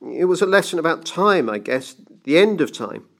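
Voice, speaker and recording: A man's voice: on the high side, sing-song, normal speed, vocal fry, fairly bright tone, voice pushed up, exaggerated voice. A man voicing a timid, anxious clockmaker in an audiobook story, carefully pleading and placating. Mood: earnest, coaxing. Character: timid, pleading male clockmaker placating his dangerous friend. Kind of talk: dramatised audiobook reading.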